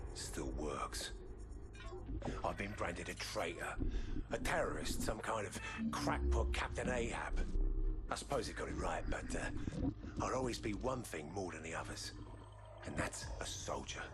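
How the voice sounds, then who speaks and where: An actor playing a menacing military man in a game cutscene, speaking in a grave, deliberate and commanding way. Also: sits low, animated, very noisy. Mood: grave, rallying. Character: commanding, menacing male military soldier branded a traitor. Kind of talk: dramatic video game cutscene acting. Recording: loud music behind the voice.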